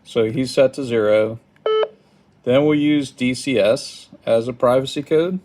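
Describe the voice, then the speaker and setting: A man giving a casual step-by-step how-to, halting and thinking aloud, recorded indoors at a tabletop. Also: mid-range voice. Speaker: product tutorial presenter. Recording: clearly noisy home recording, button clicks.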